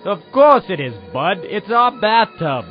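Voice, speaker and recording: A man voicing a blunt, deadpan island local in an emphatic manner. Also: high voice, measured pace, faint music behind, voice pushed up. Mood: deadpan, scornful. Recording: dull lo-fi radio sound.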